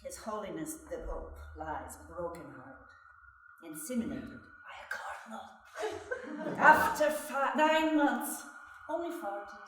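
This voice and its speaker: A woman's voice: medium pitch, expressive, slow pace, fairly bright tone. A woman playing a gleefully grotesque chorus of doom in a theatrical dramatic reading, declamatory in manner. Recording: very echoey room, distant mic, noise.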